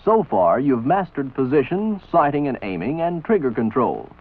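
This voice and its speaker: Male American instructional narrator, measured and commanding, animated intonation, phone-like vintage film sound. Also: mid-range voice. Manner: authoritative, measured, didactic. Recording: tinny band-limited old film soundtrack. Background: voice-over for an old military training film.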